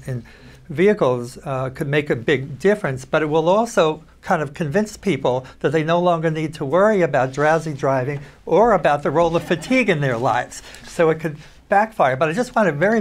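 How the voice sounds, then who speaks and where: A man on a panel discussing technology, speaking in a measured, reflective way. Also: on the high side.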